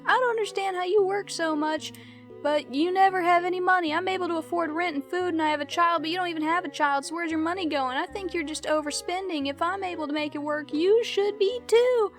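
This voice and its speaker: A woman's voice: extremely high pitch, brisk, faint music behind, voice pushed up. A woman voicing an exasperated young single mother who lectures her cousin indignantly.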